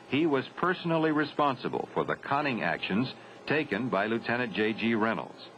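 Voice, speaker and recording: An American man delivers grave, formal narration in a measured way, with an expressive range of pitch. The sound is a dull 1970s film soundtrack with faint noise behind it. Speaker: documentary narrator. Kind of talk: scripted narration of a 1970s military training film.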